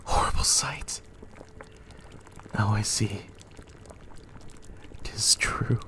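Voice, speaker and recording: A man's voice: lowish voice, fairly even intonation, very slow, whispered. A man playing a tormented, imperious and ruthless king, declaiming weightily in a dramatic Shakespeare reading. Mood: horrified.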